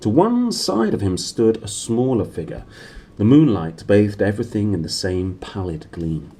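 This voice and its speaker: A man's voice: on the low side, sing-song, normal speed, dark tone. A man in his thirties reading a novel aloud in a small room. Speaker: reader. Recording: clearly noisy room recording.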